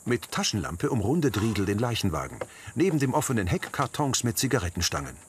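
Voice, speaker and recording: A man reading scripted audio description of scenes in a measured way. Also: fairly low pitch, sing-song, slight background noise.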